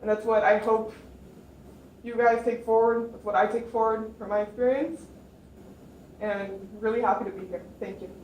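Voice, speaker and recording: A woman's voice: on the high side, steady tone, normal speed, long pauses, darkish tone. A young woman on a panel, earnestly telling the audience about her experience. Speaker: panel speaker. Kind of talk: personal account to an audience. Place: lecture room. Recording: echoey room, mic far off, faint noise.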